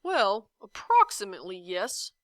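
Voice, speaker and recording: A woman's voice: medium pitch, very animated, measured pace, a bit bright, no room sound, clean studio sound. A woman voicing a huge gelatinous giant in a children's storybook, blustering and ferocious yet timid and hesitant. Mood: flustered, peevish. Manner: blustering, hesitant, hedging every statement. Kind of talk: children's storybook read-aloud.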